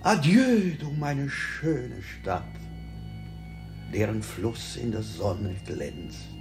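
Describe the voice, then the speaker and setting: A contemptuous, melancholic monarch in a 1960s radio play. He savours his words theatrically and slowly, the pitch rising and plunging with royal disdain. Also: animated, over music. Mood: mournful farewell. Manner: pompous, theatrical, savouring each phrase.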